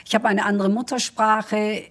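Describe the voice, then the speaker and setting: Middle-aged woman guest from Turkey, Kurdish native, husky, earnest and upbeat. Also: mid-range voice, level tone, moderate pace. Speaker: politician guest. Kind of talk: interview answers.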